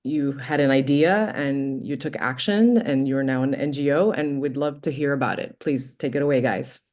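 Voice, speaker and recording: Low-voiced woman moderating a panel. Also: normal speed. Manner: warm, appreciative, slightly hesitant.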